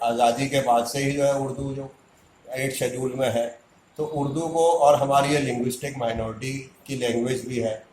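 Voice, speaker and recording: A man's voice: mid-range voice, darkish tone, slight background noise. Indignant man, echoey room. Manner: indignant, argumentative, rhetorical questions. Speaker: community activist. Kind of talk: impassioned public statement.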